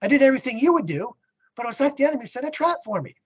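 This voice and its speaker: Man putting on a high-pitched, pleading little boy. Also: sing-song, very fast, breathy edge, full character voice. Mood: bewildered. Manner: pleading, naive. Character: innocent, tearful young boy devoted to his father.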